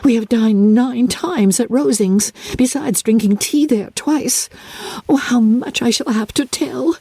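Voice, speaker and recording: A woman voicing an excitable, naive and chatty young woman who gushes with wonder in a dramatic audiobook reading. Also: a little high, unhurried, slightly rough, dry recording, voice pushed up. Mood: gushing.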